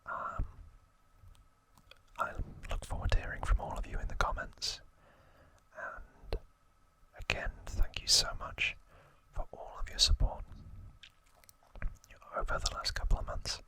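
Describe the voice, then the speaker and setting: A man whispering softly and breathily as an ASMR host, narrating trivia in short, unhurried phrases. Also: poor audio.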